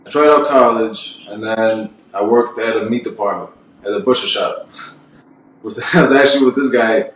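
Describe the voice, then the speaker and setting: A man's voice: lowish voice, normal speed, slight background noise. A man in his twenties, a podcast guest, telling his work history bluntly with lots of filler and swearing. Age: 20s. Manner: rambling, blunt, swears freely. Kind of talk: casual podcast chat. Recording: very echoey room, mic far off.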